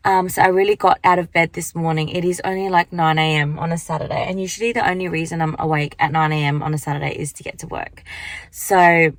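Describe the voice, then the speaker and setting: Young woman, croaky morning voice, rambling casual vlog chat into a handheld phone, dry close sound. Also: fairly low pitch, steady tone, quick pace, no echo. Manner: chatty, rambling, lots of fillers. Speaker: vlogger. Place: car at the beach, then walking outdoors.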